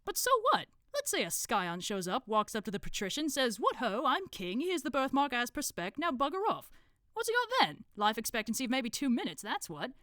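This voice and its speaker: A woman's voice: on the high side, wildly swooping pitch, a little fast, bright, forceful tone, no room sound, clean studio sound, voice pushed up, full character voice. A woman voicing a skeptical, grumbling male plasterer in a storybook, blunt and colloquial.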